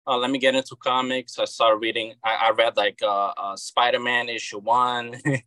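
A man's voice: medium pitch, level tone, moderate pace, pushed and bright, dry recording. A man in his thirties from Brazil with a Brazilian Portuguese accent, a guest talking casually in an interview and often repeating words as he restarts. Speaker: guest, comic book creator.

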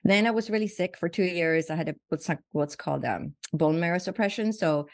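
A woman's voice: on the low side, fairly quick, dry recording. A woman in her sixties from Sweden, earnestly telling her life story as a podcast guest, often restarting and repeating words. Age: 60s. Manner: earnest, reflective, frequent restarts and repetitions. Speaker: guest physician. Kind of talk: conversational storytelling.

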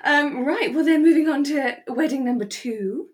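A woman's voice: high-pitched, moderate pace. A woman co-hosting a podcast in casual, reflective chat, hedging and thinking aloud as she goes. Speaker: podcast co-host. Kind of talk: casual review chat about a radio drama.

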